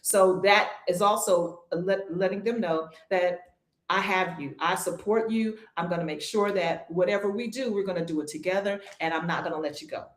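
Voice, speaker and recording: A woman who teaches swimming, answering interview questions in a calm, reassuring way and repeating her key points. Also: on the low side, normal speed. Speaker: swim instructor. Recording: echoey room.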